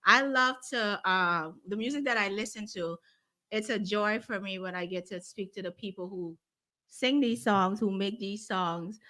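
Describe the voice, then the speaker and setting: A woman's voice: medium pitch, moderate pace, sharp tone. A woman from Guyana hosting a casual interview, asking bubbly, curious questions and laughing often. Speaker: interview host.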